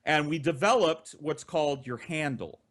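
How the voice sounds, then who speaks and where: A man's voice: fairly high pitch, moderate pace, a bit bright. A man telling comic personal stories as a podcast co-host, with theatrical emphasis and casual swearing.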